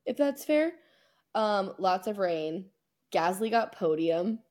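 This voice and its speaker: A woman co-hosting a podcast, chatting casually about sports in an informal, slangy, excitable way. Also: mid-range voice, slow pace. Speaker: podcast co-host.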